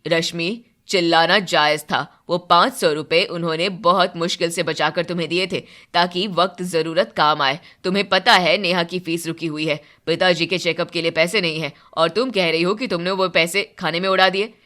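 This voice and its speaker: A woman's voice: lowish voice, steady tone, moderate pace, hardly any pauses, pushed and bright. A woman playing an earnest young husband in a story, reasoning and admonishing. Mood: reproving.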